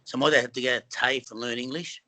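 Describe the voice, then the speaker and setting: A man's voice: mid-range voice, fairly even intonation, fast talker, bright, forceful tone. A middle-aged Australian man from Western Sydney, speaking as a film actor guest in an earnest, rambling way with many fillers and restarts. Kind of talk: casual interview conversation.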